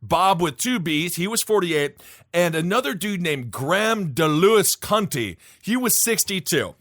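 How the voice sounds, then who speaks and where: A man's voice: sits high, animated, a little slow, a bit bright, no room sound, clean studio sound. A man with a Midwestern accent co-hosting a podcast, telling news stories in a jokey, rambling way. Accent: Midwestern American. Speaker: podcast co-host. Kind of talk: casual comedic chat.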